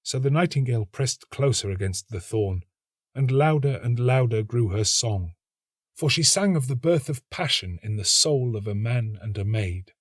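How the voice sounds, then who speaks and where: A man reading a literary story as an actor would, in a measured, dramatic and expressive narrating style. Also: medium pitch, no echo, studio quality.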